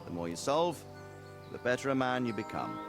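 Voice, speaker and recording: A commanding, fatherly lord in a medieval game. He speaks reflectively with very varied pitch at a middling height, over prominent music. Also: huge pitch swings, normal speed, creaky. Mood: reflective, grave. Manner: reflective, authoritative. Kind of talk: video game voice acting.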